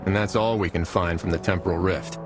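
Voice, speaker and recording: Game protagonist: lowish voice, earnest, steady, plainspoken, music underneath. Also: male voice, fairly quick. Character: steady, attentive male hunter hero. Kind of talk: video game character acting.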